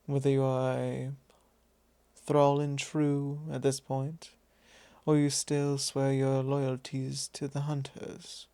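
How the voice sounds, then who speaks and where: A man acts out a vampire lord in an intimate ASMR roleplay, teasing and quietly possessive as he probes his thrall. His voice is soft and dark with a gravelly fry, and he drawls his formal words very slowly. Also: medium pitch, monotone, no room sound. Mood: probing, quietly possessive.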